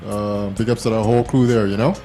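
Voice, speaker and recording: A man's voice: on the low side, fairly even intonation, quick pace, dark tone. A man hosting a casual, informal talk show interview in a self-deprecating way.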